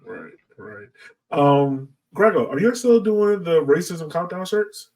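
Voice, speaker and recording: A man's voice: on the high side, expressive, slow, a little mellow, distant mic. An American man hosting a podcast in a casual, informal way, speaking directly to his listeners from a little way off the mic. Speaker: podcast host.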